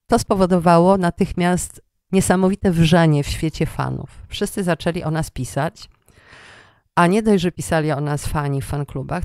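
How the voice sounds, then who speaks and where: Middle-aged woman, low-key dry recording. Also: vocal fry, a little mellow, dry recording. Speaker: interview guest, storyteller and radio programme director. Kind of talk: conversational personal storytelling in an interview.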